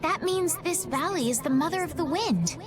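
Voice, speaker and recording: A woman playing a gentle, sweet, earnest young noblewoman in an English game dub, polite and tender. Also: extremely high pitch, lively intonation, normal speed, over music.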